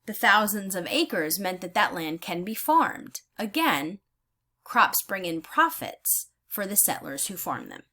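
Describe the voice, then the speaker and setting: A woman teacher reading aloud in a deliberate, instructional way, pausing to explain her thinking as she goes. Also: medium pitch, lively intonation, bright, forceful tone. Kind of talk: read-aloud lesson with think-aloud comments.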